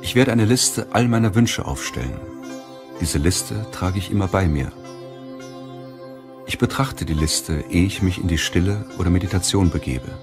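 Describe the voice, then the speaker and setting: A man reading an audiobook as scripted narration in a measured, deliberate way. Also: sits low, animated, over music.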